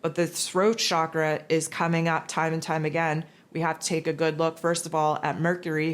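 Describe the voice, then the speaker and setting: A raspy, hoarse woman hosting a spiritual forecast, talking in a loose, rambling casual monologue. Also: low-pitched. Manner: rambling, loose, with many fillers and restarts. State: hoarse from losing her voice.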